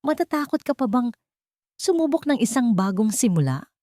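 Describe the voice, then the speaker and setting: An earnest man in love from a romantic storybook drama, acted out by a woman. The delivery is solemn and heartfelt, with expressive swings in pitch. The sound is close and dry. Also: normal speed, dry recording. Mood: hopeful, pleading. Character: earnest, tender adult man, a journalist in love.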